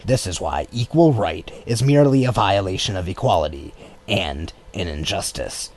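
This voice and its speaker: A man reading a political text as an audiobook in a measured, formal way. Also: low-pitched, lively intonation, darkish tone. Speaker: audiobook reader. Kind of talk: scripted narration of a political text. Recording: clear background noise.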